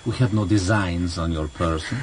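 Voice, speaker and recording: An actor playing a cultured, mockingly courteous older foreign interrogator, formal and deliberate, in a vintage radio drama. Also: male voice, sits low, natural voice. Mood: menacing, polite. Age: middle-aged or older. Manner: formal, deliberate, mock-polite. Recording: slightly noisy vintage radio recording.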